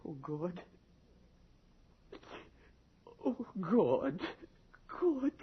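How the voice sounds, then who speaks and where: A man's voice: high-pitched, sing-song, husky, heavily acted. An actor playing a conscience-stricken Scottish medical assistant in a radio horror drama, both telling and living his story in a hesitant, dramatic way. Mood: horrified. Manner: slow, wry, hesitant storytelling. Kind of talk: dramatic first-person narration and radio acting. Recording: muffled, noisy old radio drama recording.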